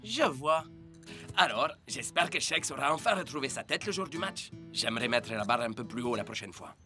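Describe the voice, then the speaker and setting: A man's voice: mid-range voice, animated, normal speed, pushed and bright, faint music behind. A man voicing a smug, suspicious rival in a cartoon, sarcastic and needling. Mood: suspicious, mocking. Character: smug, suspicious male rival footballer, a villain. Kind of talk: cartoon dub acting.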